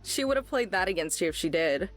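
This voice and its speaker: A woman's voice: fairly low pitch, expressive, fast talker, faint music behind, lightly acted. A woman playing a guarded but caring young drifter in a visual novel, speaking in a dry, casual way. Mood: blunt.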